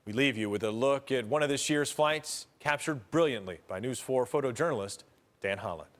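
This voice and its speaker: A man anchoring a television news special, reading scripted lines in a measured, formal way. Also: mid-range voice.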